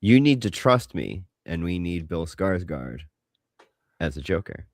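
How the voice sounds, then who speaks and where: A man's voice: low-pitched, sing-song, a little slow, dry recording, clean studio sound. A man co-hosting a podcast in casual pop-culture banter, speaking emphatically and with casual swearing. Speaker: podcast co-host.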